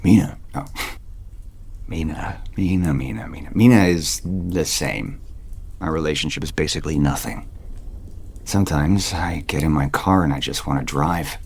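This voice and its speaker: A man playing a tentative, weary romantic lead in an audio soap opera, speaking in a halting, intimate way. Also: rumbling low, darkish tone, breathy edge, full character voice. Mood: wistful. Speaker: actor.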